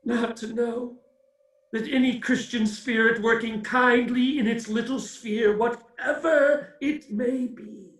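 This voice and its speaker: An actor playing the mournful, doom-laden ghost of a dead businessman in a theatrical radio play, slow and declamatory with a wailing edge. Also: very high-pitched, fairly even intonation, a bit bright, full character voice. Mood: anguished. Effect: cavernous echo on the voice. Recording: echoing, distant-sounding voice.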